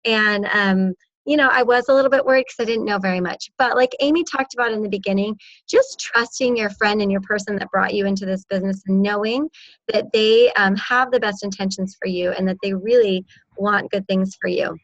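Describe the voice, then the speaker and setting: A woman's voice: medium pitch, a little fast, fairly bright tone, no room sound, lo-fi sound. A woman hosting a panel, upbeat and encouraging as she shares her own story. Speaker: panel host. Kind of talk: casual presentation.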